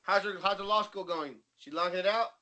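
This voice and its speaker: A man's voice: high-pitched, a little fast, crowd noise, low-quality recording. A man co-hosting a podcast with casual, teasing, joking banter. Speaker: podcast co-host.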